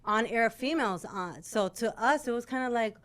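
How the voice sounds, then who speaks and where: Higher-voiced woman chatting candidly in interview. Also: expressive, normal speed, fairly bright tone. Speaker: radio personality, podcast guest. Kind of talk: casual candid interview talk.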